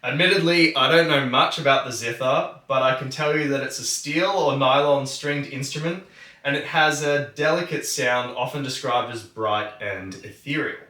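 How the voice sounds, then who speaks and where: Bright-voiced man presenting a tutorial, roomy sound. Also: mid-range voice, moderate pace. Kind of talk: explanatory tutorial narration. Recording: roomy, distant microphone.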